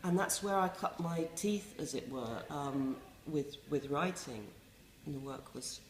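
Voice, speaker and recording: Wry, low-voiced English woman. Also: measured pace, reverberant. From England. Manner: wry, self-mocking, with many ums and restarts. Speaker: resident playwright. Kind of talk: reflective interview talk.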